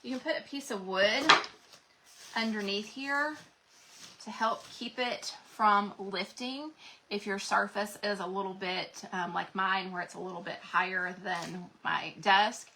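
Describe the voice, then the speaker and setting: A chatty woman, talking her viewers through a live craft project at her desk. Her voice is bright and forward, with a faint hiss underneath it. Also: mid-range voice. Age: 40s. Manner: chatty, folksy, talks to viewers as "y'all". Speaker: craft channel host.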